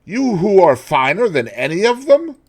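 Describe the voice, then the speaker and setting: A man's voice: fairly low pitch, animated, moderate pace, darkish tone. A man reading aloud and voicing an earnest, devoted male painter who speaks pleadingly.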